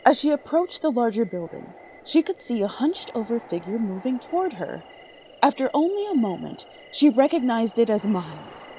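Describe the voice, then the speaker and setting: A woman's voice: on the high side, sing-song, normal speed, warm tone, highs cut off, slight background noise. A woman reading scripted dramatic narration for a horror story.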